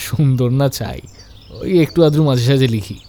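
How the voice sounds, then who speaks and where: A man voicing a gentle, modest, poetic young man in an animated love story, courteous and. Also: medium pitch, a little fast, slight background noise, lightly acted. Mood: gentle, teasing. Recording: studio voice under loud background music.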